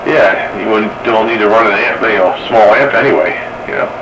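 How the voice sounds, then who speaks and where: A man's voice: fairly low pitch, a little fast. A man in a casual technical chat over two-way radio, a hobbyist with a skeptical, matter-of-fact way of talking. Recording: distant, noisy two-way radio audio.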